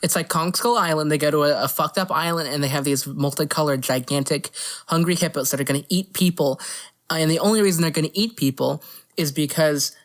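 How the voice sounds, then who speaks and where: A woman's voice: low voice, fairly quick. A woman co-hosting a podcast, chatting casually about pop culture in an opinionated, argumentative way with casual swearing. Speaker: podcast co-host. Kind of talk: casual chat about movies and games.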